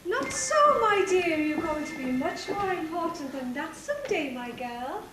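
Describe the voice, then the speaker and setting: A woman's voice: high-pitched, lively intonation, unhurried. A woman playing a kindly, whimsical fairy godmother in a stage pantomime. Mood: reassuring. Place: theatre stage. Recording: echoey hall from far off, faint noise.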